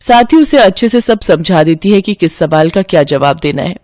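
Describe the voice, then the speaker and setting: A woman narrating a scripted family story in an expressive storytelling style. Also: on the low side, moderate pace, no room sound, studio quality.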